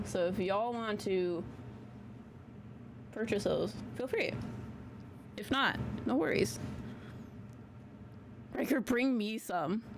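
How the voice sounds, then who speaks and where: A woman chats casually with her live-stream viewers, slangy and loose, in a small, soft voice. She records from a home basement. The audio carries a steady background noise. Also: mid-range voice. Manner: chatty, slangy, casual swearing. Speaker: tarot reader hosting a live stream.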